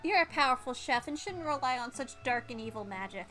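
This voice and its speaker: A woman's voice: sits high, expressive, fairly quick, bright, forceful tone, soft background music. A woman voicing an earnest, apologetic spork monster who was once a dog, in a video game read-aloud. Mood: solemn warning. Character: apologetic former-golden-retriever spork monster, earnest and courtly.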